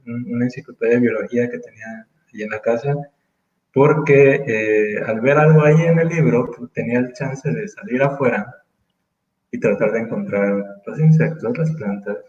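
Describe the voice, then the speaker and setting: A man in his thirties from Mexico, speaking as an interview guest in casual conversation, thoughtful and full of pauses and restarts. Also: mid-range voice, darkish tone, echoey room, dull audio. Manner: thoughtful, hesitant, many fillers and restarts.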